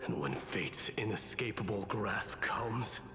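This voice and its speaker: An actor playing a stern, fatalistic warrior lord in a video game, with a stoic, weighty, formal delivery. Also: male voice, fairly low pitch, expressive, takes it slowly, music in the background. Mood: stern, commanding. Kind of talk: dramatic video game voice acting.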